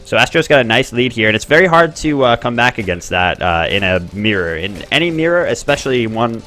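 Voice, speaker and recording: A man hosting and commenting on a game tournament in a chatty, excitable way. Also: fairly quick, faint music behind. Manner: chatty, excitable, bursts up in pitch at plays. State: expects to lose his voice.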